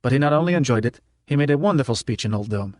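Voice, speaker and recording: A man's voice: mid-range voice, expressive, fast, a little mellow, no room sound. Male narrator for first-person fiction, clipped telegraphic delivery, dry studio sound. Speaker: audiobook narrator.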